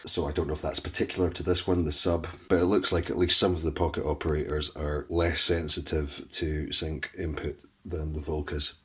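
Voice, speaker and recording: A man demonstrates music gear in a tutorial style. His voice is extremely deep with a husky edge. He explains things step by step in a plain, matter-of-fact way.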